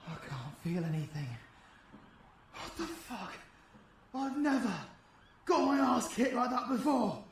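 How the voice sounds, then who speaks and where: A man's voice: very high-pitched, lively intonation, measured pace, long pauses, very echoey, distant mic, slight background noise, heavily acted. A British man playing a foul-mouthed, vengeful, defiant supervillain fighter in a dramatic audio roleplay, swearing with raw emotion. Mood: pained, frightened.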